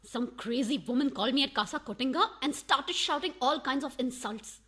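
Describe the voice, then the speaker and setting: An actress playing a suspicious, imperious wife in a radio farce, with a haughty, sarcastic and sharp-tongued manner. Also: female voice, sits high, a little fast. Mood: suspicious, demanding. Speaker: actor.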